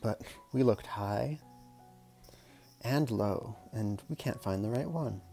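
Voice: mid-range voice, takes it slowly, creaky, mellow, faint music behind. A storyteller reading a picture book aloud in a casual, playful way, with chatty murmured asides.

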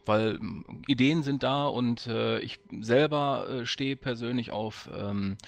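A man's voice: mid-range voice, slow, vocal fry. A man from the Ruhr area of Germany chatting casually as a podcast guest. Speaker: podcast guest, audio drama maker. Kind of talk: casual chat.

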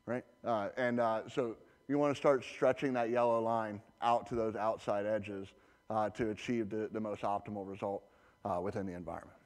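A man giving a conference talk as a presenter, conversational with many fillers and restarts. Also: lowish voice. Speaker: conference presenter, security architect. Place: large conference hall. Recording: reverberant hall.

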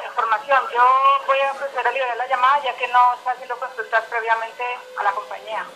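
A woman's voice: a little high, steady tone, fast, noisy background. A polite, patient woman, working customer service on a tinny telephone line. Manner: polite, patient, informal address. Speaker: phone company customer service agent. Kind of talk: customer service phone call.

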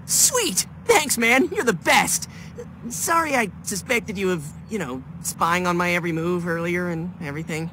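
An actor voicing a brash, excitable teenage boy hero in a video game cutscene, cocky and exclaiming. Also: mid-range voice, moderate pace, a little hiss or hum. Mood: eager.

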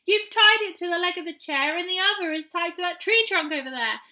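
A woman's voice: very high-pitched, lively intonation, fairly quick, sharp tone, voice pushed up, exaggerated voice. A woman voicing an adventurous, forthright young boy in a children's storybook, exclaiming and questioning. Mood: admiring.

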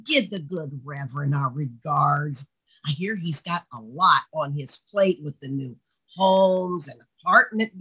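A woman's voice: fairly low pitch, pushed and bright, husky, full character voice, poor audio. A woman playing a chatty, sardonic secretary with folksy endearments in an online play reading. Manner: folksy, sardonic, calls people hon and sweetie. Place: indoors on a video call. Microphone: video call microphone.

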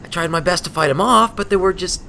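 A man voicing a brash, tired young female pegasus adventurer in a storybook, telling her exploits in casual, animated speech. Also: high-pitched, fast talker, creaky, a little hiss or hum, voice pushed up, full character voice. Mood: excited, stressed. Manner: animated, colloquial. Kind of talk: storybook character voice.

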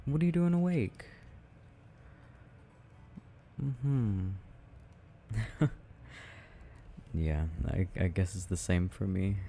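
A low, mellow, creaky male voice acted by a man as a tender boyfriend soothing his partner at night. He murmurs slowly and affectionately, rambling in soft ASMR roleplay style with gentle teasing. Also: big pitch swings, a little hiss or hum, exaggerated voice. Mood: tender, apologetic.

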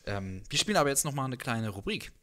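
A man's voice: medium pitch, very animated, quick pace, pushed and bright. A man in his thirties chats casually as an interview host in a jokey, informal way, talking into a handheld microphone. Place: small music room indoors.

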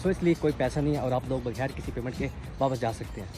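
پاکستانی لہجے میں نوجوان مرد، پیچھے موسیقی. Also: male voice, mid-range voice, fast talker. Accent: Pakistani. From Pakistan. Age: 20s. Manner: explanatory, mixes English words into speech. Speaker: vlogger, university student. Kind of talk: casual vlog chat to camera. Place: city streets outdoors and inside shops. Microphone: phone at arm's length. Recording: phone vlog with music laid over. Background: background music.

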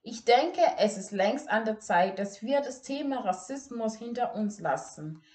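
A teenage girl from Ghana, whose first language is Ga, gives an earnest and measured school speech in a plain indoor room, recorded by a camera set some distance away. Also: a little high, animated, darkish tone. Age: teens. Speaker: student speech contestant. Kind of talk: memorized bilingual speech. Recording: echoey room.

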